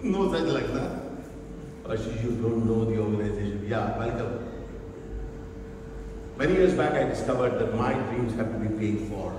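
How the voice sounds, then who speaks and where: A man from Delhi with an Indian accent giving an animated motivational talk and firing rhetorical questions at the audience. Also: medium pitch, normal speed, pauses often. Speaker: motivational speaker. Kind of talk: interactive motivational talk to educators. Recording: echoey hall, voice from far off, faint hiss.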